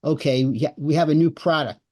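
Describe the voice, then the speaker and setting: A man's voice: fairly high pitch, fairly even intonation, fairly quick, a little mellow. Man explaining, repeating words as he goes. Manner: conversational, repeats words as he thinks. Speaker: guest, business writing coach. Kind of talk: podcast interview.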